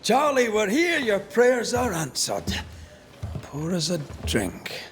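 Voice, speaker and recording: An actor playing a blunt, grumbling ghost hunter with a Scottish accent and an earthy, wry manner. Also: male voice, sits high, huge pitch swings, takes it slowly, slight background noise, full character voice.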